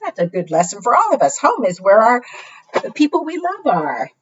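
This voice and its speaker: A woman's voice: fairly high pitch, huge pitch swings, moderate pace, breathy edge, muffled recording. A woman hosting preschool storytime, reading and teaching in a sing-song way, often putting questions to small children. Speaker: library storytime host.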